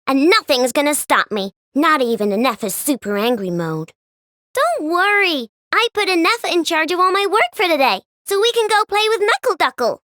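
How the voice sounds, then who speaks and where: A woman's voice: sits high, pushed and bright, dry recording, clean studio sound, heavily acted. An actress voicing a cheerful, playful young girl inventor who loves sweets, in bouncy, childlike game voice lines. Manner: playful, wheedling, with gasps and giggles. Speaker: game character voice.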